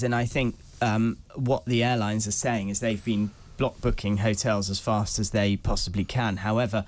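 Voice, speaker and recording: A British man giving explanatory news commentary as a correspondent, in an articulate, earnest, advisory way. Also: on the low side. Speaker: travel correspondent, guest.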